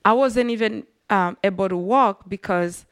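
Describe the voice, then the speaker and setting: A woman with a Rwandan accent recounts her own life as an interview guest, her voice sitting at an ordinary middle pitch. She tells it earnestly and reflectively, with lively turns. The sound is dry and close, with no room echo. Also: moderate pace, smooth. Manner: earnest, reflective, animated storytelling. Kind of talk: personal storytelling in an interview.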